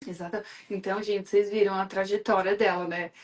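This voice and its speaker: A woman's voice: mid-range voice, flat delivery, a little fast. A woman from Brazil hosting a live stream, talking in a chatty, enthusiastic way with lots of fillers. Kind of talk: casual live chat.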